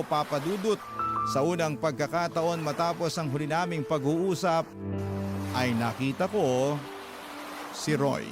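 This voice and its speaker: Guy narrating a letter for a radio drama, bit high voice, heavy music behind. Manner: storytelling, addressing the host by name. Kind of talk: first-person letter narration. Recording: narration over loud music.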